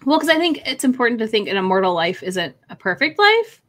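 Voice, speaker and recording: Bright-voiced young woman chatting casually. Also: a little high, very animated, fast. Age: young adult. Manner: informal, profane. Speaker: book discussion panelist. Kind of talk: casual chat. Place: indoor home room on a video call.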